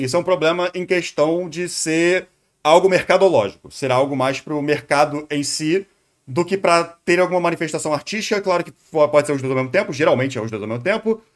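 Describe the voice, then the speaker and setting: A Brazilian man giving casual, opinionated live commentary as a streamer, explaining his views informally with slang. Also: fairly high pitch. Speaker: streamer reacting to a video.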